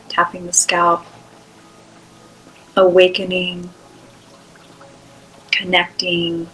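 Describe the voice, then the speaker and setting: A woman leading an unscripted guided meditation in a soothing, unhurried way, with long pauses between short phrases. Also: lowish voice, fairly bright tone, rough recording.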